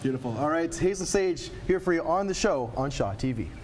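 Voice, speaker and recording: A man's voice: a little high, lively intonation, fairly quick. A man hosting a TV show, interviewing a musical guest in an upbeat, affirming manner. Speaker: TV show host.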